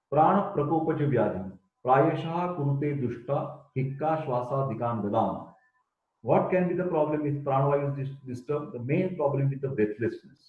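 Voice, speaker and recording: Older Indian-accented professor, emphatic lecturer, tinny phone-like sound in a roomy echo. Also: male voice, medium pitch. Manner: emphatic, repeats key terms, chants Sanskrit verses. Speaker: Ayurveda professor. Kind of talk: recorded lecture.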